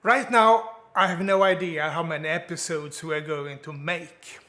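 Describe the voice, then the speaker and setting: A man in his seventies from Sweden with a Swedish accent, talking to camera in earnest, plain-spoken and slightly halting English. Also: on the high side, steady tone, sharp tone. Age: 70s. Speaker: online business vlogger. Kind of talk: scripted talk to camera.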